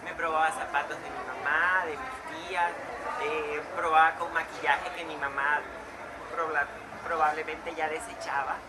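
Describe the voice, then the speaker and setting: A man interviewed for a documentary somewhere very noisy, thinking back aloud in halting, repeated phrases. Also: a little high, steady tone. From Colombia. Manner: halting, repeats words, reflective. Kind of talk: personal testimony, spontaneous. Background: loud ambient noise.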